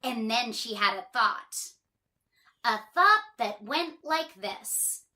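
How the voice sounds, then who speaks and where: A woman reading a children's story aloud in an expressive way, drawing out words for emphasis. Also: on the high side, takes it slowly.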